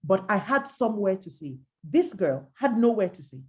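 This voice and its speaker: A woman's voice: mid-range voice, normal speed. A middle-aged woman from Nigeria, an adult educator, speaking earnestly and emphatically in a reflective dialogue, often quoting others. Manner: earnest, emphatic, quotes others in lively asides.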